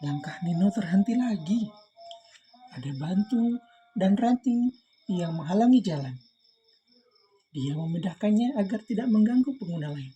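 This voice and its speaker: Very mellow, animated woman storyteller. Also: mid-range voice, moderate pace, pauses often, slight background noise, rough recording. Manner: expressive, addresses the young listeners with questions. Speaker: storyteller reading a children's book. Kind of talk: read-aloud storytelling for children.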